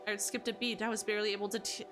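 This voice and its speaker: A young woman vtuber playing a horror story game, reading its text aloud and reacting in a chatty, expressive way. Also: medium pitch, very fast. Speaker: vtuber streamer. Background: faint game music.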